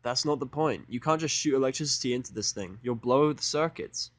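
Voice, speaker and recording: A man acts as a stubborn young guy in an audio drama. He sounds blunt, sarcastic and fed up, in a medium-pitched voice recorded dry. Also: a little fast, no echo, close to an everyday voice. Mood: irritated. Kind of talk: fan fiction audio drama dialogue.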